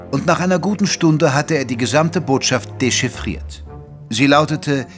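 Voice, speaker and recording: An actor playing a gentlemanly detective's companion who narrates an audio drama in a measured, articulate way. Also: male voice, mid-range voice, lightly acted.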